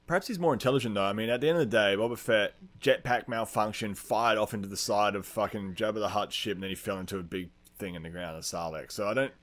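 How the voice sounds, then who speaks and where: A man's voice: fairly low pitch, fast, few pauses, a bit bright. A man hosting a podcast, chatting casually and arguing his points in a blunt, sweary way. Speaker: podcast host. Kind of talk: casual chat, debating film characters.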